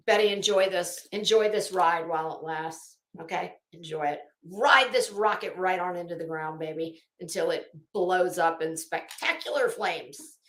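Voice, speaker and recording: A woman's voice: fairly low pitch, echoey room. A woman delivering an unscripted, opinionated commentary rant as an online host, sarcastic and taunting, with theatrical emphasis.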